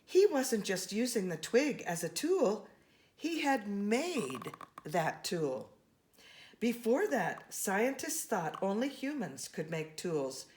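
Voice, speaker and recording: A woman reading a children's picture book aloud, expressively and with deliberate emphasis on key words. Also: mid-range voice. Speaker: storybook reader.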